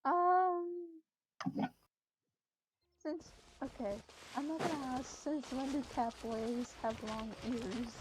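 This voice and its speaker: A woman chatting casually as she plays through a video game quest, on a low-quality amateur mic. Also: fairly even intonation, takes it slowly, slight background noise. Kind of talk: casual chat over gameplay.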